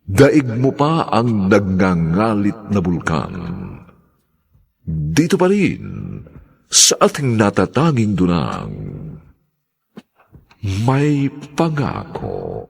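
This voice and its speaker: A man with a gravelly voice announcing a radio drama title in a slow, portentous, dramatic style. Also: on the low side, wildly swooping pitch, full character voice.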